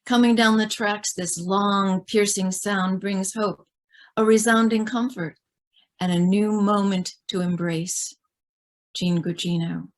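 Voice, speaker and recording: A woman's voice: mid-range voice, steady tone, slow pace. A woman reads poetry aloud in a voice of average height. She delivers it as a recitation, phrase by phrase. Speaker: poet.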